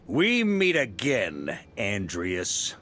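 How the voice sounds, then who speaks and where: An actor playing a breezy, self-assured, battle-seasoned knight grandmaster in a video game. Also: male voice, lowish voice, huge pitch swings, drawn-out words, fairly bright tone, slight background noise, heavily acted. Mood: wry, wary. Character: confident easygoing male knight grandmaster, battle-seasoned leader.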